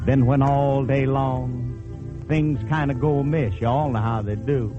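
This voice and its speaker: A man drawls a folksy vaudeville lament very slowly, half talking and half singing to the audience. Music plays clearly behind him, and the old radio recording is dull. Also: medium pitch, fairly even intonation. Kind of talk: half-spoken comic lament, vintage vaudeville song. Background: music accompaniment.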